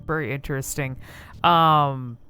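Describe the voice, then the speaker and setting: A woman's voice: very deep voice, steady tone, measured pace, bright, forceful tone, clean tone. A woman giving casual game commentary, rambling and thinking aloud as she explores cutscenes.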